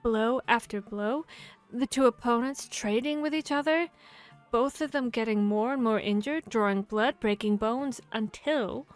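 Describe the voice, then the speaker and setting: Woman acting a high-voiced, theatrical female vampire hunter. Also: measured pace, close to an everyday voice. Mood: dramatic, proud. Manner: theatrical, expressive. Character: boastful, playful female vampire hunter telling tavern tales. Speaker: audio roleplay performer. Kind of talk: dramatic storytelling audio roleplay. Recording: music behind the voice. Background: background music, tavern ambience.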